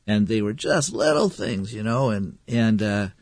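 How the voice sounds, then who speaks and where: A man's voice: fairly low pitch, a little slow, a little mellow, no room sound. A man interviewing a guest in an easygoing, affable way, chuckling often as he talks. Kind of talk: casual interview talk.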